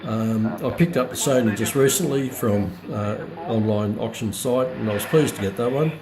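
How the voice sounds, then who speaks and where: A man giving a casual, unscripted product demonstration as presenter, halting and searching for words. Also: mid-range voice, a crowd in the background. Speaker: presenter of a hobbyist radio review video. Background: radio broadcast voices playing from the demonstrated receiver.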